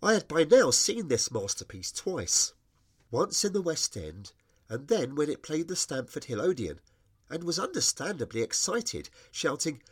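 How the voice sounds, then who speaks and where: Middle-aged British male narrator for wry personal essays; very lively intonation, slightly husky edge. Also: on the high side, a little slow. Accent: British English. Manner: wry, expressive storytelling. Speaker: writer reading own personal essay. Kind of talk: scripted narration of humorous memoir.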